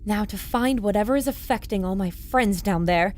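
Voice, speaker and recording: A woman's voice: mid-range voice, normal speed, a little mellow, slight background noise, natural voice. A woman playing a plucky adventurer reporter in an audio drama, confiding urgently to her listeners. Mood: furtive, excited. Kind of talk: audio drama acting, narrating into a recorder.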